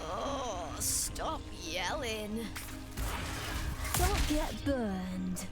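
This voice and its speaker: A woman playing a brash, irreverent adventurer in a video game, blunt and mocking with drawn-out sarcasm. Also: medium pitch, expressive, over loud music. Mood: sardonic.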